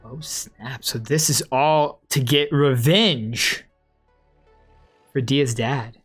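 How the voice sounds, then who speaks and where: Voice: medium pitch, slow, a bit bright, breathy edge, faint music behind. A game streamer giving casual, reactive playthrough commentary, musing aloud.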